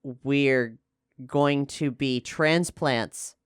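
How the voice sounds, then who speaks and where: A man's voice: medium pitch, very slow, clear, resonant tone, no echo, studio quality. A man hosting a casual podcast discussion, explaining things in a chatty, conversational way. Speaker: podcast host and player.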